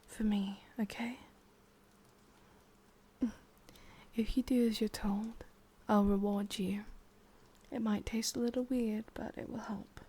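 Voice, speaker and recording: A woman with an English accent playing a possessive, lovesick witch in a whispered ASMR roleplay, coaxing softly and intimately, close to the microphone. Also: mid-range voice, steady tone, unhurried. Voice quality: breathy, whispery.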